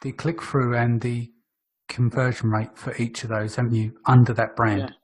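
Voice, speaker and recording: A man's voice: medium pitch, little pitch movement, normal speed. A man hosting a podcast, chatting casually, with brief agreements and questions that steer his guest. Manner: short agreeing interjections and prompting questions. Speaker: podcast host.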